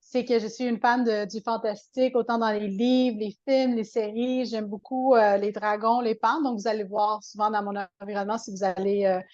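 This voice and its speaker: A woman's voice: fairly high pitch, fairly even intonation, moderate pace, few pauses, darkish tone. Chatty Québécoise woman, muffled call audio. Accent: Québécois French. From Quebec. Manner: chatty, many fillers and restarts. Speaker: coach, interview guest. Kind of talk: casual interview talk.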